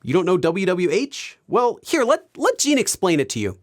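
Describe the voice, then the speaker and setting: A man teaching Korean on his video channel, explaining things clearly and laughing often. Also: high voice, huge pitch swings, normal speed, slight background noise. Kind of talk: educational video commentary.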